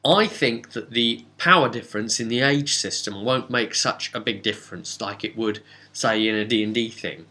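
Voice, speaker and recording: A man speaking as a game master, giving a casual, rambling recap of a role-playing session with dramatic pauses and asides. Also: fairly low pitch, bright, forceful tone. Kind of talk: casual spoken recap and storytelling.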